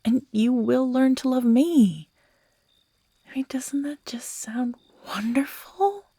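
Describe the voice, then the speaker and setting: A woman playing an obsessive, unhinged yandere who is tender and menacing by turns, speaking in an intimate, halting, breathy ASMR roleplay style that often drops into whispers. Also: sits high, expressive, mellow, dry recording, studio quality, full character voice. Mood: manipulative, lovestruck. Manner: slow, halting, cooing, broken by giggles and sighs.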